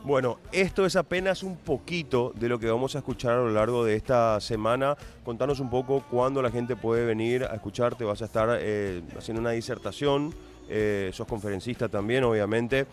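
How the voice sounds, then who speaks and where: Upbeat radio host with soft music. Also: male voice, mid-range voice, normal speed. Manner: enthusiastic announcer, long wordy questions. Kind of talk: radio interview.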